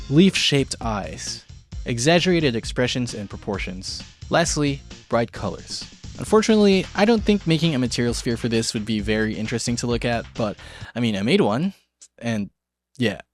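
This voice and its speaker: A man's voice: medium pitch, expressive, moderate pace. Casual male tutorial host with music behind. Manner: casual, playful, tongue-in-cheek asides. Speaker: video show host, art tutorial presenter. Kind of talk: semi-scripted art tutorial narration with casual asides.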